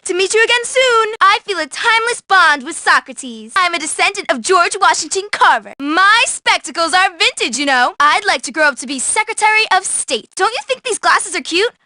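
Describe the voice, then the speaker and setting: A very high, bright voice recorded dry and close: a prim, self-important teenage history nerd from a video game. She is haughty and precise, pronouncing every lofty word like a little scholar. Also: expressive, moderate pace, few pauses, no echo, full character voice. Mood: earnest, self-important. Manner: pompous, precise, bookish diction. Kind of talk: scripted video game character lines.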